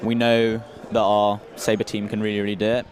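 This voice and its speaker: Young guy co-hosting a student stream, low flat voice, matter of fact. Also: normal speed. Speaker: student livestream co-host. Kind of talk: casual sports livestream sign-off.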